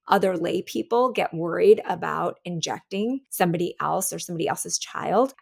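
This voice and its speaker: A woman's voice: lowish voice, gravelly. A woman hosting a conversational medical discussion, speaking as a physician to a lay audience. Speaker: podcast host, physician.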